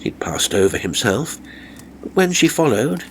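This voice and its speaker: A man's voice: medium pitch, big pitch swings, measured pace, heavy background noise. A man reading a story as a dramatic narrator, with a theatrical, wry raconteur's delivery.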